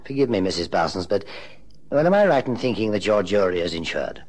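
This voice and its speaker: Radio play lead: polished British detective agency chief, rather deep, expressive, unfailingly polite. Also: male voice. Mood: courteous. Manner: urbane, polite, measured. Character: suave, courteous male private investigator and agency boss. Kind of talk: radio drama dialogue and narration.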